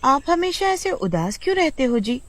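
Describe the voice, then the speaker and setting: Woman as devoted young wife, gentle, melodramatic, raised voice, expressive intonation, storybook. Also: sits high, moderate pace, voice pushed up. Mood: tender, reassuring.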